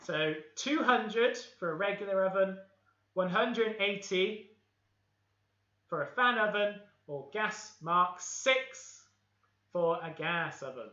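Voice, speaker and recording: Bright, high-voiced Welsh man leading kids. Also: expressive, takes it slowly. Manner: upbeat, encouraging, playful. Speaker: host of a kids' baking livestream. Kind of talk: leading a live baking session with a game of I Spy. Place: home kitchen. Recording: echoey room, dull lo-fi stream audio.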